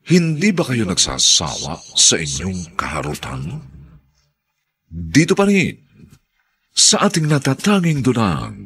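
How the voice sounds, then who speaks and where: Filipino guy doing big dramatic radio drama narration, super rough husky voice, really swoopy and solemn. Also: fairly low pitch, fairly bright tone. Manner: solemn, grandiose, drawn-out emphatic delivery.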